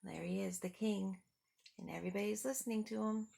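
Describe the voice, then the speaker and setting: A woman's voice: mid-range voice, steady tone, a little fast, gravelly, noisy background. A woman reading a picture book aloud to young children, talking simply and adding little questions and asides. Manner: simple, child-directed talk with rhetorical questions. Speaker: storyteller for children.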